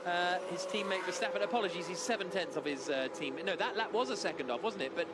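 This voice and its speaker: High-pitched man commentating live. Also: quick pace, heavy background noise. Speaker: motor racing commentator.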